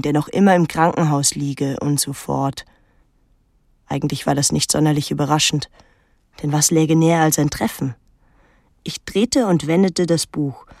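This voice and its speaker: Husky young woman, introspective storyteller. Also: deep voice, a little mellow, dry recording, clean studio sound. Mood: reflective, unsettled. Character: reflective eighteen-year-old daughter telling her own story. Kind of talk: literary radio drama narration and dialogue.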